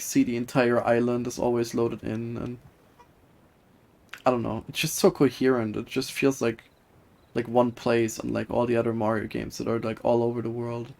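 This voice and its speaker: Game streamer, mid-pitched voice, casual off-the-cuff commentary, rambling and hesitant. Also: vocal fry, darkish tone. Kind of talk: casual live gameplay commentary, chatty.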